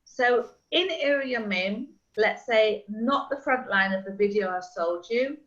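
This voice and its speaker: A middle-aged woman working as a real estate agent, chatting casually and explaining things with quick examples over a call. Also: a little high, expressive, a bit bright. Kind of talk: casual video call chat. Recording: slightly dull lo-fi call audio.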